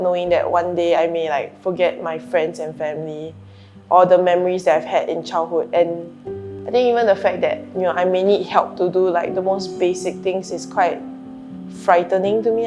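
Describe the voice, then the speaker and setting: A woman's voice: lowish voice, steady tone, moderate pace. A young woman, a nursing student answering in an earnest, reflective way. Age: young adult. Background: guitar music.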